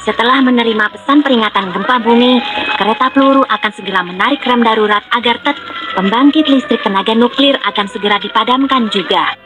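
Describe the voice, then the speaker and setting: A woman voicing a cheerful young panda tour guide in a children's educational game, speaking clearly and instructively. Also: on the high side, quick pace, close to an everyday voice, rough recording. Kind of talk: scripted educational narration for children. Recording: music behind the voice.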